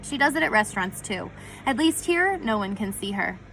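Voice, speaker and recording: A woman's voice: medium pitch, big pitch swings, moderate pace, a bit bright, soft background music, poor audio. A woman voicing a spirited, wry teenage girl in a storybook reading.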